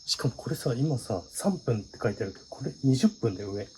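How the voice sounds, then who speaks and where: A nervous man on a night-time ghost hunt, talking casually and easily spooked. His pitch jumps around a lot at a medium height. Insects chirp clearly behind him in the outdoor recording. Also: expressive, brisk, darkish tone, low-quality recording. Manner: easily frightened, jittery, pleading politely with spirits. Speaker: member of a ghost-hunting video duo. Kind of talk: casual on-location ghost-hunting chat. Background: crickets and insect noise.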